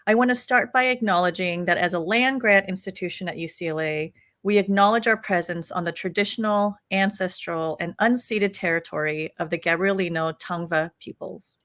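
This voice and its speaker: A woman hosting a live online book talk, welcoming viewers and introducing the guest in a clear, organized way. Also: on the low side, moderate pace, hardly any pauses, a bit bright. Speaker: host and co-director.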